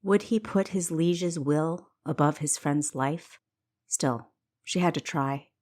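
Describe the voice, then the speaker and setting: A woman's voice: deep voice, slow pace, a little mellow. A woman reading scripted fiction as the narrator of her own audiobook.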